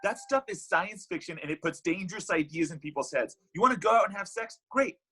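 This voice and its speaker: A man plays a heartbroken love god in a comedy script read, bitter and defensive. His voice is high and swoops widely, with an exasperated, indignant edge and a rough grain. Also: animated, brisk, fairly bright tone, heavily acted. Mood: scornful, indignant.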